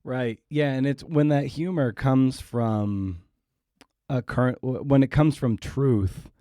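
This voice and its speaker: A man's voice: mid-range voice, slow pace, mellow, no echo, clean studio sound. A man hosting an interview podcast, talking casually and reflectively, often circling back and restarting mid-thought.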